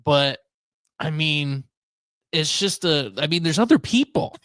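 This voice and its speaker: A man's voice: on the high side, lively intonation, unhurried, a bit bright, no room sound. A man hosting a casual chat, rambling and joking crudely with an incredulous manner. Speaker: radio show host.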